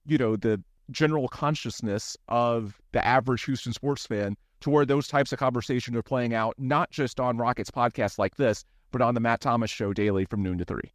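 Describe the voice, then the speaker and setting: Podcast host, dry close sound. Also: male voice, mid-range voice, normal speed, hardly any pauses, no echo. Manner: long, winding questions with asides. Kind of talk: sports podcast interview.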